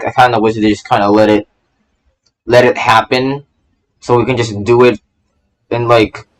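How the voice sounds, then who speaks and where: A man's voice: medium pitch, poor audio. A man doing casual live game commentary, rambling and stammering as he repeats his phrases. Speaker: VTuber game streamer.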